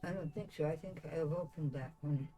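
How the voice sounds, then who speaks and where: A woman talking casually and gently in the house, away from the microphone. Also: deep voice, fairly quick, faint music behind. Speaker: person in the streamer's home.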